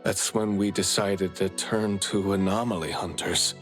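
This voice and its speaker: A man's voice: sits low, fairly even intonation, unhurried. An actor playing a worried, polite father of a missing boy in a video game cutscene, earnest and anxious. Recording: background music under the voice.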